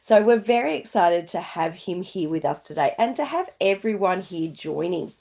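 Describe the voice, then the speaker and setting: Lively, expressive woman hosting a webinar. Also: on the low side, moderate pace, creaky. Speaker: host introducing an online session. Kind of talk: informal webinar housekeeping and introduction.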